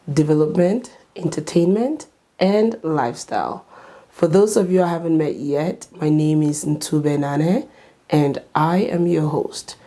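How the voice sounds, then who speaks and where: A woman hosting a talk show, explaining things to the viewer in a measured, deliberate way. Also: low-pitched, dark tone. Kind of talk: scripted-style explainer to camera.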